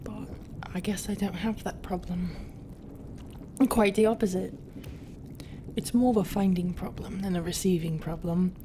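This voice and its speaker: Casting: actress as a flustered, soft-hearted lady pirate captain for intimate audio roleplay; unhurried, hesitant, half-whispered, over noticeable ambience. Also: fairly low pitch, a little hiss or hum, natural voice. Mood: soft, wistful. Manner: hesitant, halting, often breathy half-whisper. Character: conflicted, flustered female pirate captain, gruff but tender. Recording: steady ambient sound effects behind voice.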